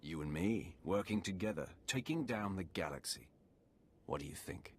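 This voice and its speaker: A man's voice: low voice, expressive, normal speed, slight background noise. A man playing a stern, confident Sith warrior in a video game, speaking in terse, controlled, formal phrases. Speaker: voice actor in a game. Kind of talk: cinematic video game acting.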